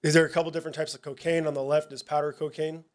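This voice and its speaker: Casual, halting man presenting to parents. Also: on the high side, little pitch movement. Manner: casual, halting, many fillers and restarts. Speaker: police narcotics officer. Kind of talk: presentation at a public town hall.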